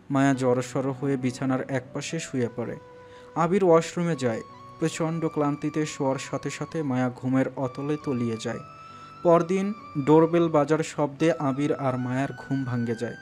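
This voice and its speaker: A man's voice: medium pitch, a little mellow. A man narrating a romantic audio story in a dramatic, scripted style. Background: background music.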